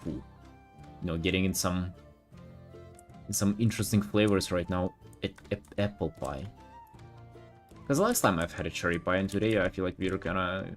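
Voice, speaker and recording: Man, low voice, native Russian speaker, casual art streamer chat, rambling and halting, slangy, sweary, faint background music. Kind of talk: casual livestream chat while painting.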